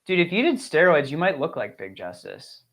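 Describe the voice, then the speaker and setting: A man's voice: fairly high pitch, very animated, moderate pace, a crowd in the background. A man co-hosting a casual fantasy sports show, joking and teasing in crude, easygoing banter. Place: remote call on a live stream.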